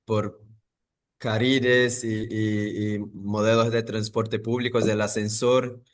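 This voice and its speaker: A man, talking in a thoughtful, halting way with many pauses and restarts as an interview guest. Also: on the low side, little pitch movement. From Brazil. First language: Portuguese. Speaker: architect guest. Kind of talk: interview conversation.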